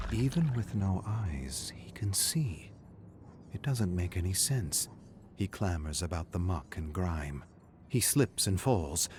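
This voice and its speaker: A man's voice: lowish voice, darkish tone. A man narrating a scripted horror story in a dramatic style, with noise behind him.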